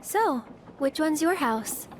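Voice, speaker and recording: A woman's voice: sits high, expressive, slow pace, a little hiss or hum. An actress playing a candid, sensitive young woman in a video game, speaking conversationally. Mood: gentle, teasing. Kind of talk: video game character acting.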